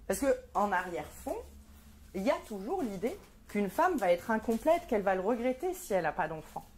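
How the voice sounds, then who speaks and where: A woman in her thirties delivers a stand-up comedy monologue in a mid-pitched voice. She is wry and sardonic, often hesitating and filling pauses as she builds her point. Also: big pitch swings, darkish tone, a little hiss or hum. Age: 30s. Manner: hesitant, many filler sounds, wry and sardonic.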